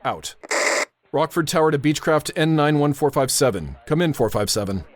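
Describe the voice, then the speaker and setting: An actor playing a brisk, steady airport tower controller under pressure, in a radio drama style. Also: male voice, on the high side, slight background noise, lightly acted. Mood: urgent, commanding.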